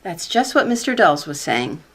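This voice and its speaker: Actress as low-pitched, dry female secretary. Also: sing-song, moderate pace, fairly bright tone, slightly rough, exaggerated voice. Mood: reassuring. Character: steady, skeptical, supportive female office secretary. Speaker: actress in a radio play. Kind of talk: comedic radio play acting.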